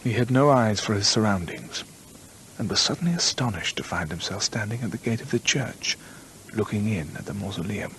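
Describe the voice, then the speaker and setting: An actor playing an earnest storyteller who believes in the supernatural, measured and conversational, in a radio drama. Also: male voice, fairly low pitch, a bit bright, slight background noise, rough recording. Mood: absorbed storytelling.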